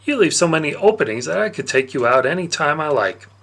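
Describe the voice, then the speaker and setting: A man's voice: a little high, sing-song, fast, a bit bright, heavily acted. A man voicing a cocky young village boy who scolds his friend playfully in a storybook reading. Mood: teasing.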